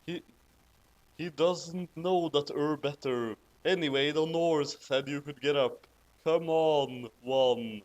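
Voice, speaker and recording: A man's voice: on the high side, takes it slowly. A man reading a story aloud and commenting on it in an amused, incredulous, mocking way. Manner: incredulous, amused, breaking into laughter over the text. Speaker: host reading fan fiction aloud with commentary.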